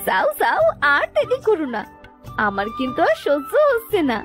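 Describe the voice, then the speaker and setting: A woman performs an excitable, sweet village wife in a cartoon folk tale. Her voice is high and bouncy in pitch, coaxing and full of exclamation, over soft music. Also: moderate pace. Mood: excited.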